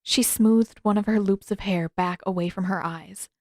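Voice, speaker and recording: A woman's voice: mid-range voice, steady tone, moderate pace, darkish tone, dry recording, studio quality. A woman reading scripted fiction as an audiobook narrator.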